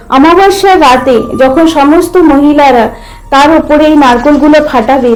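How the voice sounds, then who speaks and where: Lady reading an old village holy grandpa in a cartoon tale, high voice, reassuring and explaining. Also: a little mellow, low-quality recording. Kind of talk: animated story character dialogue.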